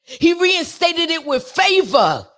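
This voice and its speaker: A woman with a naturally raspy, high-pitched voice talks to her livestream viewers in a fervent, sermon-like way. She speaks straight to them, driving each point home by repeating it. Her rough tone stays in every line. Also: very animated, slow pace, a bit bright. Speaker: singer sharing a testimony with viewers. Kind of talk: preachy motivational livestream talk.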